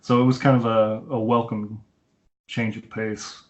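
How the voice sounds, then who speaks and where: A man's voice: on the low side, flat delivery, measured pace. A man co-hosting a casual film podcast over an internet call, rambling along in an informal, conversational way. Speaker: podcast co-host reviewing films. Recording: dull, lo-fi Skype call.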